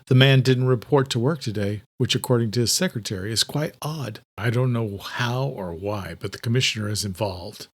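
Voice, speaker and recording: A man's voice: medium pitch, moderate pace, hardly any pauses, slightly rough, natural voice. A man playing a composed, serious, loyal investigator in a thriller audiobook. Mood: matter-of-fact.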